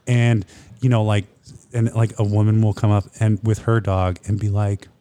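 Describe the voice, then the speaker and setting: A man's voice: on the low side, level tone, normal speed, warm tone. A man in his thirties, phlegmy from a cold, telling a rambling profane story in casual chat. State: phlegmy, getting over a cold.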